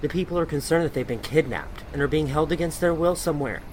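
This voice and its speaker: A man's voice: on the high side, quick pace, a little hiss or hum, lightly acted, poor audio. A man playing a snide non-binary muckraking blogger in an audio drama, mocking and pointed, questioning like an interrogator. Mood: pressing.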